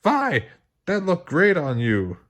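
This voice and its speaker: A caring superhero mom from a storybook, acted by a man. Her voice sits high and swings widely, with a firm, motherly manner that tips easily into exasperation. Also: huge pitch swings, takes it slowly, fairly bright tone, full character voice. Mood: patient, coaxing.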